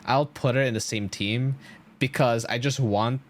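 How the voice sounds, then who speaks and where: A man's voice: mid-range voice, steady tone, unhurried. A man streaming a game, chatting casually to viewers in an enthusiastic, slangy, rambling way.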